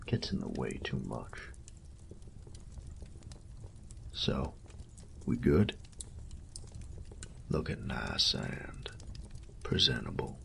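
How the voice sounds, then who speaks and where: Man playing a very deep, gravelly bandit. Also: a bit bright, a little hiss or hum, heavily acted. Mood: gruff, grudging. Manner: slow, taunting drawl with folksy, profane phrasing. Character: sadistic male bandit, cocky, teasing, menacing rogue. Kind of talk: intimate roleplay audio drama.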